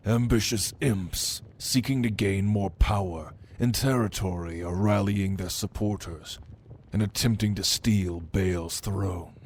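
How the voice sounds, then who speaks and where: A man playing a haughty, hungry demon who was a prince's right hand, speaking slowly and intimidatingly to the listener in an ASMR audio roleplay. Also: fairly low pitch, a little mellow, slight background noise. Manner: slow, deliberate, intimidating, dryly teasing.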